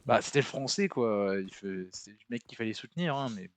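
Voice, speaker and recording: A man's voice: mid-range voice, expressive, a little fast, no echo. A young man chatting casually and informally in slang while he plays a game with friends. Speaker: gamer playing with friends. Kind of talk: casual chat during co-op gameplay.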